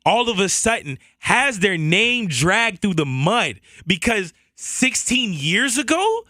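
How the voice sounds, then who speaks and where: A man's voice: high-pitched, big pitch swings, slow, sharp tone, dry recording. A man from the Southern US, co-hosting a radio show and speaking heatedly and emphatically in a studio. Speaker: radio co-host. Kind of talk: impassioned radio talk. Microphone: studio boom mic.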